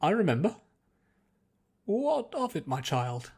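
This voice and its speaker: A man's voice: high voice, very animated, slow, a little mellow, husky, pitched above the performer's own voice, full character voice. A man voicing a kindly, dignified archbishop in a fantasy story, speaking in a formal, paternal way. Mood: courteous, curious.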